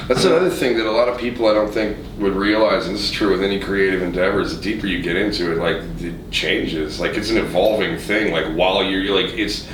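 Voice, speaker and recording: A man with a low voice hosts a casual podcast. He talks informally and with enthusiasm, cursing freely. The sound is roomy and a little distant from the mic, with faint noise. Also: fast talker, few pauses, a bit bright. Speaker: podcast host. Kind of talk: casual chat. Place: echoey studio room.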